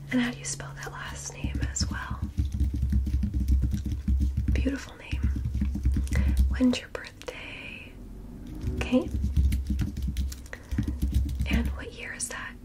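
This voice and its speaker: A woman in a soft, high, airy whisper, playing a caring nurse in an ASMR exam. She keeps her voice hushed and asks gently before each step, calling the listener darling. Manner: gentle, reassuring, asks permission often, calls listener darling. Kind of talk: whispered ASMR medical roleplay.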